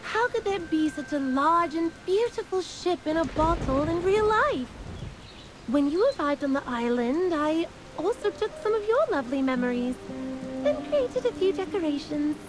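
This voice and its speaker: A very high, mischievous game fairy, teasing and theatrical in every phrase. Music plays clearly behind the voice. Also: female voice, sing-song, normal speed. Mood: smug, playful. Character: playful teasing female fairy, bored and mischievous. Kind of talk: scripted game voice acting.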